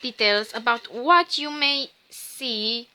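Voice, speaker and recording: A Romanian woman whose first language is Romanian, giving a slow, musing rune reading in English with a Romanian accent. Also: fairly high pitch, pushed and bright, a little hiss or hum. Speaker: tarot reader.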